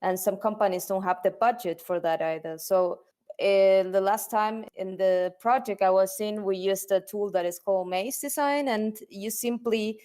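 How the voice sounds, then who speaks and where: A woman's voice: medium pitch, moderate pace, darkish tone, clear, resonant tone. A woman whose first language is Spanish, answering interview questions as a UX designer, often repeating words as she searches for the right phrasing. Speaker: UX designer guest. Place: lives in Germany.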